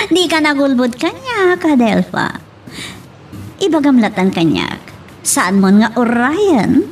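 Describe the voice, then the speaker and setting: Drunken emotional woman, Ilocano radio drama, highly animated, high pitch, some background noise. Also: slow pace, pauses often, heavily acted. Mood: drunken, distraught.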